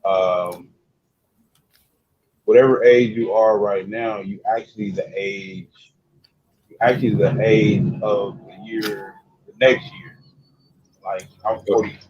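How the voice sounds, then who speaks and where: Man, 40s, low voice, halting delivery; lo-fi, slightly noisy recording. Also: fairly even intonation, darkish tone. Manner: halting, many fillers and restarts. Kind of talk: casual chat. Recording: dull lo-fi sound with faint noise.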